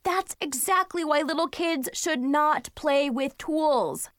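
A woman's voice: high voice, sing-song, a little slow, no echo, clean studio sound, pitched above the performer's own voice. A woman voicing an exasperated schoolgirl big sister in a storybook, bossy and emphatic as she scolds. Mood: stern, irritated.

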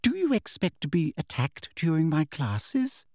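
A prim, patronizing schoolmistress, put on by a man reading a storybook, who talks in a soft, sugary, high voice that rises and falls in a sing-song. Her sweetness never sounds sincere. The recording is dry and close. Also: very animated, measured pace, no echo, heavily acted. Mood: falsely sweet. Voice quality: sweet, breathy, prissy and patronizing. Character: sugary, condescending female teacher, falsely sweet.

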